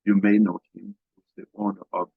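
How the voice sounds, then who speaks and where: A middle-aged man from Haiti, a guest business coach talking haltingly in an interview, with many fillers and restarts. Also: lowish voice, big pitch swings, creaky, husky, dry recording. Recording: dull, dry lo-fi sound.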